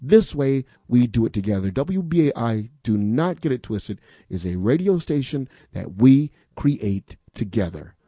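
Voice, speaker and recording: A man's voice: mid-range voice, very animated, measured pace, hardly any pauses, dark tone, dry recording, studio quality. Emphatic man hosting a radio pledge drive. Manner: emphatic, repeats phone number, rhetorical questions. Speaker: radio fund drive host. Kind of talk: on-air pledge appeal.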